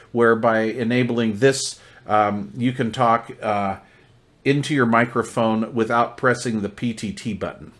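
A man talking casually through a hands-on product demonstration, explaining features step by step as a reviewer. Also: medium pitch.

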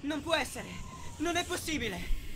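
A man voicing an excitable, bewildered young boy in an anime fan dub. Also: extremely high pitch, normal speed, full character voice. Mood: shocked. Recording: faint noise, ambience behind.

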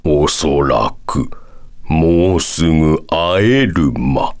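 A man voicing a wise, proud elderly bear-like sage in a video game, measured and calm, ending sentences with a quirky verbal tic. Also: extremely low pitch, exaggerated voice. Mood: calm, kindly. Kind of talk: video game character voice acting.

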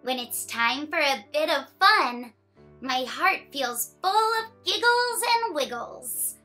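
High, bright young woman reading to children. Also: slow pace, soft background music. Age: young adult. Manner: animated, expressive storytelling to young children. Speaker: children's story time host. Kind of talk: picture book read-aloud for children.

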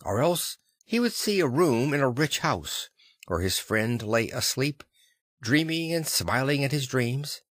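A man reading literary fiction as an audiobook narrator, with a measured, deliberate storytelling delivery. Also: mid-range voice, fairly bright tone, dry recording, clean studio sound.

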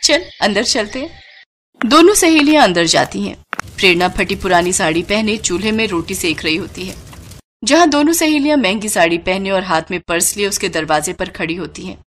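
A woman's voice: mid-range voice, lively intonation, fairly quick, fairly bright tone, slight background noise. A woman narrating a scripted moral bedtime story as storyteller.

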